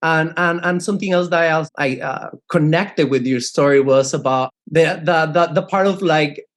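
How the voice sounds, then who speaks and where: Earnest man from Mexico, higher voice. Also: moderate pace. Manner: earnest, searching for words. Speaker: podcast host, college instructor. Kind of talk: conversational interview.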